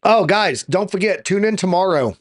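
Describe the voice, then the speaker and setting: A slightly hoarse man hosting a live market show, giving casual chart commentary and addressing viewers informally like buddies. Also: high-pitched, animated, moderate pace.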